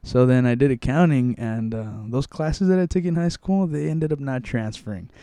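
Rambling young man, smooth dry voice. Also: medium pitch, moderate pace, dark tone, no echo. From Mexico. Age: young adult. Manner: rambling, many restarts and fillers. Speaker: interviewee. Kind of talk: casual conversational interview.

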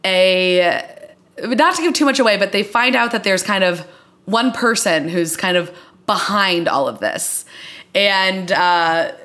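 A woman's voice: mid-range voice, fairly bright tone. A woman hosting a podcast in a casual, chatty and emphatic way. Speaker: podcast host.

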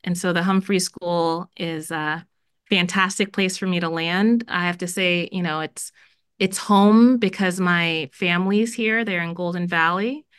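A woman's voice: fairly low pitch, steady tone, measured pace, clear, resonant tone, dry recording, studio quality. A middle-aged woman from Jamaica, a school dean, speaking earnestly in a podcast interview with many fillers.

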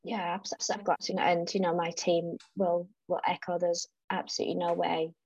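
A woman's voice: on the low side, level tone, normal speed, darkish tone, husky, dry recording, highs cut off. A woman in her thirties, raised in South Africa and North East England, chatting as a podcast guest with frequent restarts. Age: 30s. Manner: chatty, restarts often, laughs easily. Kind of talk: casual interview chat.

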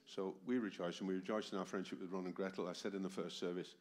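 A man's voice: low-pitched, level tone, fast, a little mellow. A man in his seventies preaching a sermon to a congregation, with a voice tired from a cold and a habit of asking the room rhetorical questions. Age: 70s. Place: church hall with a congregation. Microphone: amplified microphone.

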